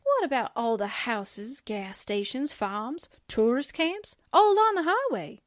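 A naive, eager female newspaper sidekick, played by an actress in an old-time radio drama style. She has a fairly high, very animated voice and a slow, wide-eyed, folksy delivery. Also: no echo, studio quality. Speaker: voice actor in an audio drama. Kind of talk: 1940s radio drama acting.

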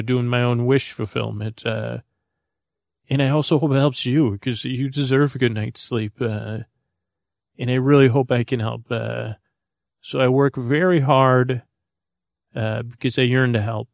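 A man hosting a bedtime podcast, with a creaky, dulcet voice, rambling and stumbling off topic with many fillers. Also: medium pitch, no echo, clean studio sound. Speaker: sleep podcast host. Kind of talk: meandering bedtime podcast intro.

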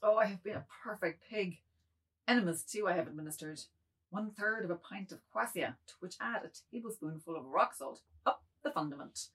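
A woman's voice: fairly low pitch, brisk, a bit bright, slightly rough, rough recording. A woman playing a wordy, self-abasing middle-aged man in a theatrical novel reading. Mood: abject, confessing. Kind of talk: dramatized reading of a modernist novel.

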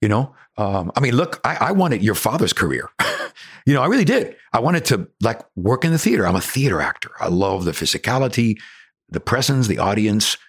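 A man in his sixties from Cuba with a Cuban accent, an actor telling stories in a casual chat, animated and laughing often. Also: lowish voice, fairly quick, husky, dry recording, clean studio sound. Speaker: actor guest.

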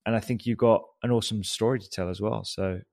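A man's voice: lowish voice, fairly even intonation, quick pace, darkish tone. A man hosting a podcast interview in a living room, chatty and laughing often, with frequent restarts. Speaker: podcast host. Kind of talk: casual interview chat.

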